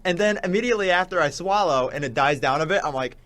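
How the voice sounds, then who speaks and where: A man's voice: a little high, animated, fast talker, fairly bright tone. A young man chatting casually as a guest on a radio show, telling stories in a rambling, hesitant way. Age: young adult. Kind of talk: casual chat.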